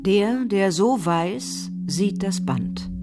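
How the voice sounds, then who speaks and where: An actress playing a solemn woman who recites mystical ancient verses in an incantatory, deliberate way in a radio play. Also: mid-range voice, lively intonation.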